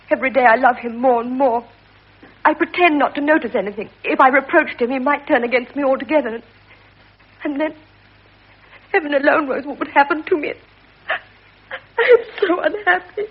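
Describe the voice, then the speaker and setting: Husky, pleading young British lady. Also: high-pitched. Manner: breathy, hesitant, earnest pleading. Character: lovelorn, timid young lady of the royal court. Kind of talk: 1950s British radio drama acting. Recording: muffled 1950s radio recording.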